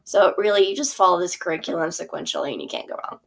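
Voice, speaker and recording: A woman's voice: fairly low pitch, big pitch swings, fast, vocal fry, a bit bright. A woman teacher casually walking through her theater curriculum, chatty and full of fillers. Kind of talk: casual walkthrough of a product.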